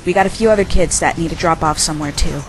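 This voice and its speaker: A woman playing a wry, brisk government agent in charge in a fan audio drama. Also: sits low, soft background music, lightly acted, low-quality recording. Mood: wry, friendly.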